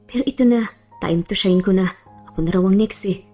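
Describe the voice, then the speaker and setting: An anxious young working woman played by a female storyteller frets and grumbles to herself with self-mocking sass. The voice is mellow, in a romance audiobook with faint music behind it. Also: mid-range voice, a little fast, lightly acted. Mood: nervous, grumbling.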